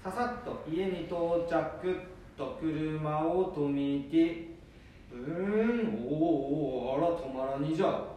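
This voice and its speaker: A man's voice: fairly high pitch, slow pace, dark tone, a little hiss or hum. A man playing a stubborn, boastful old grandpa in an amateur radio drama, grumbling in a Nanbu dialect of northern Japan. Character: stubborn, overconfident old grandpa behind the wheel. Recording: echoey room, distant from the mic.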